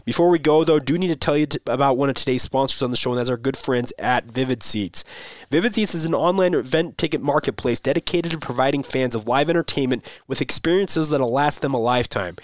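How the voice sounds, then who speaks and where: A man's voice: mid-range voice, quick pace, no echo. A man hosting a daily sports podcast, upbeat and conversational, his voice a little worn from being under the weather. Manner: upbeat, conversational, addresses listeners as guys. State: under the weather, voice not full. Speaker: podcast host. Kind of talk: sports podcast talk and ad read.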